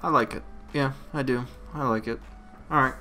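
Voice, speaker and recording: A man hosting a casual, unscripted software tutorial, thinking aloud in short, halting phrases. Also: medium pitch, soft background music.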